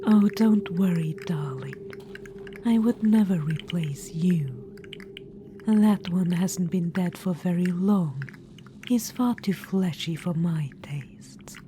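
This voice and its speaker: A woman's voice: fairly low pitch, animated, slow pace, noisy background. A woman voicing an imperious, gleefully macabre undead witch-queen in a whispered audio roleplay, cooing "darling" at the listener. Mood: doting menace.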